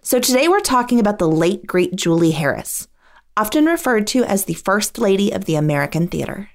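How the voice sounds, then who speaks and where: A woman's voice: mid-range voice, sing-song, a little fast. A woman reading scripted biographical narration as the host.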